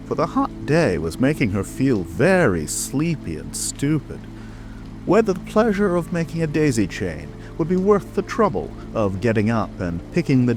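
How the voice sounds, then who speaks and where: A man narrating a children's storybook in an expressive, dramatic scripted reading. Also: mid-range voice. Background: music.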